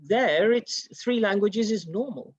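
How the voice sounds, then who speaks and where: Panelist with a dull, dry sound. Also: male voice, high voice, expressive, unhurried, slightly rough, dry recording. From United Kingdom. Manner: storytelling, acts out quoted exchanges. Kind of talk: panel discussion, personal anecdote.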